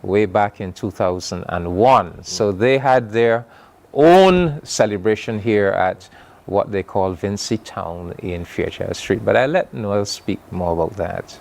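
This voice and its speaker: An older man from Saint Vincent with a Barbadian accent, a guest on a morning TV talk show, speaking in a relaxed, conversational way. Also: on the low side, measured pace, gravelly. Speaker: non-resident high commissioner, studio guest. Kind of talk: morning TV interview.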